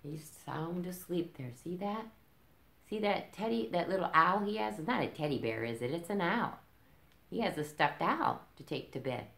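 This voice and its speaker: A woman's voice: lowish voice, lively intonation, normal speed, gravelly, a bit bright. A middle-aged woman reading a picture book aloud to children, with simple explanations and checking questions. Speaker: library story time reader. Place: indoors in a curtained room. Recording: roomy, echoing small-room sound.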